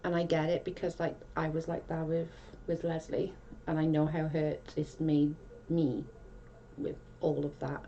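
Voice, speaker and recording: Welsh woman hosting a casual livestream, low-set voice, rambly chat, slightly muffled audio. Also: level tone, a little slow, warm tone, slight background noise. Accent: South Welsh. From Wales. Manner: chatty, rambling, restarts often. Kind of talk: casual chat reading comments.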